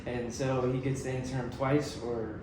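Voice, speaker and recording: A man's voice: mid-range voice, little pitch movement. A man hosting a podcast, opening the show and introducing his guest with many fillers. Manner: halting, many fillers and restarts. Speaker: podcast host. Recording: echoey room, distant mic, noisy.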